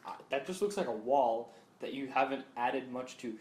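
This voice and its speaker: A man in easy conversation about films, his voice sitting at an ordinary middle pitch. He restarts his sentences and keeps checking in with his co-host. The room around him is echoey. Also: animated, moderate pace, a little mellow, slight background noise. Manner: talks in loose restarts, asks for agreement with "right?". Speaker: podcast co-host. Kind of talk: casual film chat.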